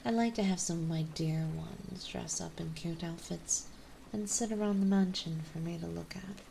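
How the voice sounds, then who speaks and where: Woman acting as vampire mistress, ASMR roleplay, mellow lowish voice, soft whispery delivery, coaxing, possessive, tender. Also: fairly even intonation, a little hiss or hum. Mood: persuasive, composed. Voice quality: soft, breathy, often dropping into whispers. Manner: soothing, coaxing, slow and deliberate. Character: gentle, possessive, dominant female vampire mistress.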